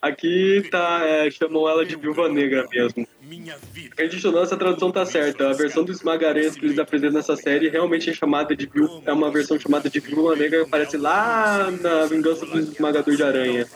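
A man's voice: fairly high pitch, quick pace, rough recording. A man with a Brazilian accent chatting casually as a panelist on a fan podcast. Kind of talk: casual fan commentary chat.

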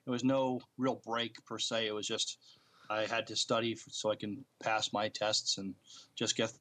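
A man co-hosting a podcast, chatting casually in a plain, halting, understated way. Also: lowish voice, flat delivery, bright, forceful tone. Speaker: podcast co-host. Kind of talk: casual chat.

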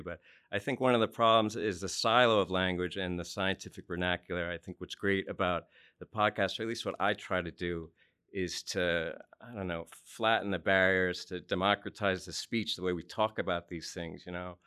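A man's voice: low-pitched, steady tone, normal speed, bright, forceful tone, clear, resonant tone. A man who co-hosts a podcast, talking informally and enthusiastically in a panel discussion. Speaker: podcast co-host.

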